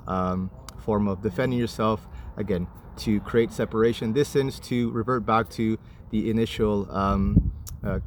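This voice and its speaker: A man from Chile who moved to Canada as a child, answering interview questions as an instructor in a halting, deliberate way. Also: on the low side. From Chile, moved to Canada at eight. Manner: halting, measured, deliberate explaining. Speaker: martial arts and violence prevention instructor, guest. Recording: clearly noisy, urban traffic ambience.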